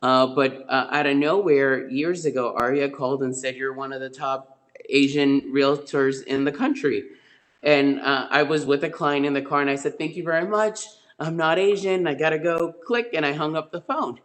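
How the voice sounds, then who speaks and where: A man's voice: a little high, fairly even intonation, moderate pace, fairly bright tone. A middle-aged man, a real estate agent who grew up in Spain, telling stories from his career on a webinar in a rambling way, with many fillers and restarts, over a video call. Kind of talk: webinar guest storytelling.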